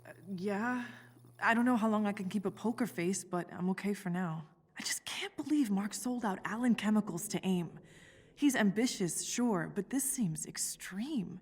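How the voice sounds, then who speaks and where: A young biochemist in a video game, worried yet resolute. She sounds candid and thoughtful at a middling pitch, with a long reverb around the voice. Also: lively intonation, moderate pace, slightly rough. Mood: uneasy. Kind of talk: naturalistic video game voice acting.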